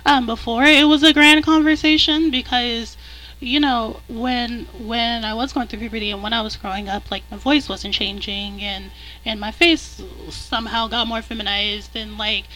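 A man with a high countertenor voice, a podcast guest talking candidly and rambling in casual chat. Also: steady tone, moderate pace, sharp tone, rough recording.

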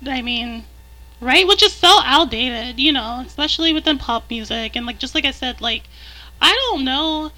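A man with a high countertenor voice, a podcast guest talking candidly and rambling in casual chat. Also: moderate pace, pushed and bright, low-quality recording.

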